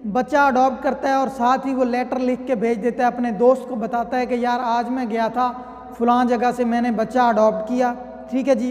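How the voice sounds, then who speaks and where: Male lecturer, Pakistani accent, exceptionally high voice, conversational explanatory delivery with English legal terms, heavy hall reverb. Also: a little mellow. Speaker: law lecturer. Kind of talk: explanatory lecture with worked examples.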